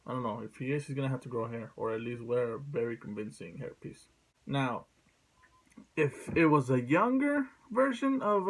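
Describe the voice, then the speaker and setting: Male vlogger, mid-pitched voice, informal opinion talk with plenty of swearing, thinking it through aloud. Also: poor audio. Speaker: video host giving opinions. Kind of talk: casual opinion vlog.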